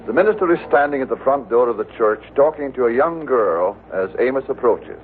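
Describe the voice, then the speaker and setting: A man's voice: medium pitch, expressive, brisk, close to an everyday voice. A man performing as a polished storyteller, reading scripted narration for an old radio sitcom. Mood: narrating. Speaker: announcer-narrator. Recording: narrow-band vintage radio recording, faint hiss.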